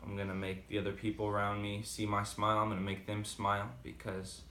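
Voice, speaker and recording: A man's voice: on the low side, completely flat delivery, a little fast, sharp tone, roomy sound, a little hiss or hum. A man with a slight Boston accent talking casually and rambling with many fillers in a motivational vlog. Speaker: vlogger. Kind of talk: casual motivational talk to camera.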